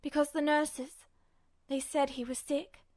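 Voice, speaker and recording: A woman's voice: high-pitched, little pitch movement, unhurried, a little mellow, no room sound, studio quality. A woman narrating fiction in a dramatic, emotive style, like an audiobook reader. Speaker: podfic reader.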